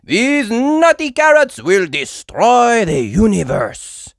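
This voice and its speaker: Superhero potato, played by a woman: bold, theatrical, high and slow, storybook style. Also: big pitch swings, dry recording, studio quality, full character voice. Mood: dramatic. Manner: loud, theatrical proclaiming.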